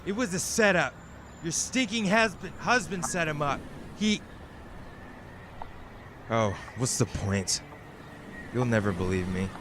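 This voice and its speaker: A man's voice: on the high side, expressive, a little slow, long pauses, fairly bright tone, slight background noise. A man playing an unhinged, grieving villain who swings between menace and tender pleading in a melodramatic audio drama. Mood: bitter. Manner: melodramatic, intense.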